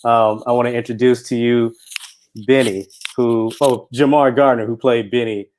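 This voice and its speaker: A man hosting a live Q&A as a film director, speaking informally and haltingly as he searches for words. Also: mid-range voice, little pitch movement, a little mellow, slight background noise, low-quality recording. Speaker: film director and event host. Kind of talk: casual live Q&A introductions.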